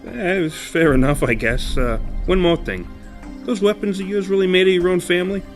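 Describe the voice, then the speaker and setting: A man voicing a rough-talking male bus driver, blunt and wary, who drawls with fillers and hesitations. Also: high-pitched, moderate pace, faint music behind, voice pushed up, lightly acted. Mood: curious. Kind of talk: horror audio drama.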